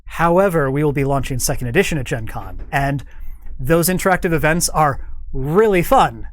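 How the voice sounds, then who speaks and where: A man speaking as a guest in a studio chat, explaining things in an animated way. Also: a little high, moderate pace. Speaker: guest, game organized play developer.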